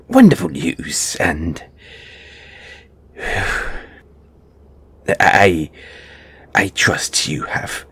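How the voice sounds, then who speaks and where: A man's voice: low-pitched, a bit bright, breathy edge, full character voice. A man acting a squeamish, timid gargoyle handler in an audio roleplay, stammering and speaking with nervous, formal politeness. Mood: formal, uneasy.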